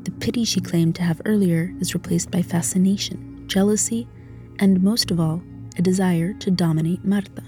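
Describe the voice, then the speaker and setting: A woman narrates a scripted video essay about film. Her voice is mellow and rather low, with faint music underneath. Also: fairly even intonation, normal speed.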